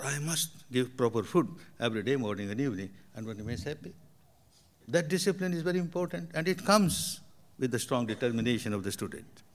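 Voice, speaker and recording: An older man from Burma, a meditation teacher answering audience questions in emphatic, repetitive phrasing. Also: fairly high pitch.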